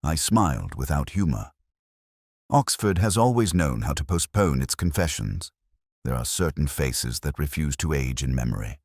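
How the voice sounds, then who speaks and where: Male actor as melancholy detective, extremely low pitch, dry close sound, literary mystery narration, measured delivery. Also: animated, darkish tone, no room sound, full character voice. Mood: brooding, then wistful. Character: brooding, melancholy male police detective narrating his case. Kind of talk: scripted first-person mystery narration.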